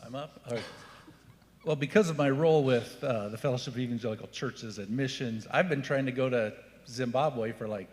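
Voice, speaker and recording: A man's voice: medium pitch, fairly quick, a little mellow, slight background noise. A man from a church denomination's staff, speaking enthusiastically on a panel. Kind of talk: panel discussion. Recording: echoey hall.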